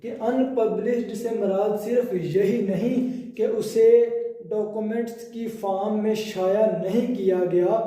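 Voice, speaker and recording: High-voiced Pakistani man lecturing, very muffled-dark tone. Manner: deliberate, repeats key phrases in other words. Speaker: law lecturer. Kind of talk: explanatory law lecture, reading out clauses and explaining them. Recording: very echoey, reverberant room sound.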